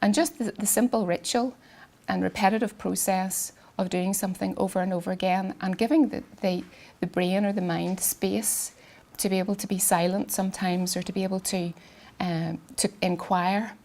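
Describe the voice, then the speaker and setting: A woman, an artist giving a public talk in a quiet, soft voice, hesitant with many ums and restarts, and she has a cold. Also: mid-range voice, steady tone, moderate pace. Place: garden event space with sound system.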